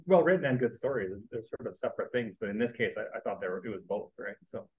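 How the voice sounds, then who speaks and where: Earnest rambling man with a husky voice. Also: lowish voice, lively intonation, narrow, tinny audio. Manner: earnest, rambling, halting, full of restarts. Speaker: book club audience member. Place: library meeting room.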